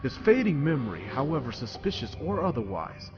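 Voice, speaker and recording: A man's voice: mid-range voice, big pitch swings, fairly quick, darkish tone, muffled recording, faint music behind, close to an everyday voice. A man playing a composed, steadfast military commander in an audio drama, speaking formally and articulately. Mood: formal, resolute. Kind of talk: fan audio drama acting.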